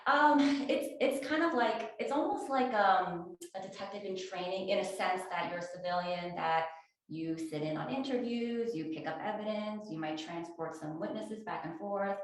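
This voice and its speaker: A woman's voice: medium pitch, moderate pace. A woman in her forties from New Jersey, a detective answering interview questions. She speaks in a plain, matter-of-fact way with a few fillers, into a small clip-on mic. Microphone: small clip-on mic shared between speakers. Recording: very echoey room.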